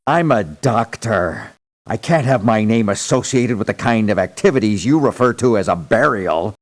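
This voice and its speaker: An actor playing a self-important, stern mercenary doctor in a video game, speaking in a haughty, formal, deliberate way. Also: male voice, fairly low pitch, expressive. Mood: indignant. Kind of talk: video game character voice lines.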